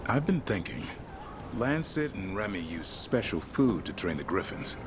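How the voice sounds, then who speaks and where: Proud elf monster hunter, low voice, terse phrasing, video game dialogue, music behind. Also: male voice, sing-song, unhurried, background noise. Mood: matter-of-fact. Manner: terse, clipped short sentences. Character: gruff adult male elf monster hunter, proud and adventurous.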